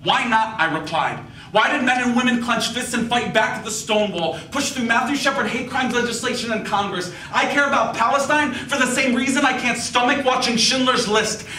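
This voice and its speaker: A man's voice: extremely high pitch, pushed and bright. A young man in his twenties performing spoken word poetry live at a college event, with a passionate, rhythmic delivery. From United States. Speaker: poet. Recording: echoey hall, distant mic, faint hiss.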